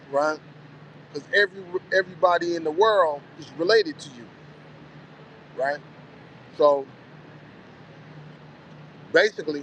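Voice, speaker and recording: Forties guy musing out loud, voice sits a bit high, faint street noise behind. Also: rough recording. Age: 40s. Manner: reflective, loose, repeats "you know what I'm saying". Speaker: vlogger. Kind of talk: casual spoken monologue.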